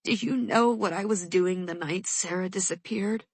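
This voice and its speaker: A woman reading an audiobook voices a distraught middle-aged mother whose ragged, hoarse voice breaks into crying. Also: lowish voice, moderate pace, no room sound.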